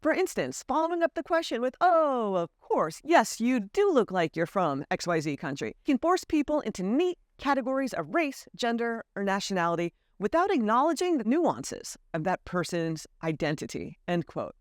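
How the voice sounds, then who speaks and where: An American woman speaks candidly and earnestly to the camera, reflecting on her life abroad. Her pitch moves freely and expressively, and the recording is close and dry with no room sound. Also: normal speed, hardly any pauses, no echo. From United States. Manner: earnest, candid, lively emphasis. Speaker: vlogger. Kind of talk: reflective personal storytelling to camera.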